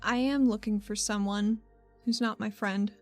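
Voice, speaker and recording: A woman's voice: mid-range voice, steady tone, a little slow, faint music behind, natural voice. A woman playing an earnest, apologetic but determined adventurer in a tabletop roleplay, speaking politely and hesitantly.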